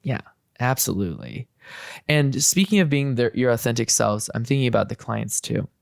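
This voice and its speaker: A man's voice: medium pitch, expressive, normal speed, gravelly, dry recording, studio quality. A man hosting a podcast, chatting casually and hedging his points with fillers and restarts. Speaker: podcast host. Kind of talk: casual interview chat.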